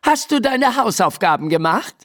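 A woman's voice: a little high, sing-song, moderate pace, voice pushed up. A woman voicing an irritated, nagging mother in a children's story, with a scolding manner. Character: Laura's mother, nagging, irritated, oddly unbothered.

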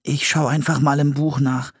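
A man's voice: medium pitch, little pitch movement, moderate pace, breathy edge, no echo, studio quality, pitched above the performer's own voice, lightly acted. A man voicing a cautious, bookish young boy with an earnest, slightly plaintive manner in a children's audiobook. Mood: studious reading. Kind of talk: audiobook children's story character voice.

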